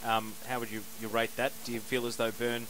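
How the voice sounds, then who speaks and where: A man's voice: mid-range voice, flat delivery, brisk, a bit bright, slight background noise, low-quality recording. Upbeat man; community radio interviewer.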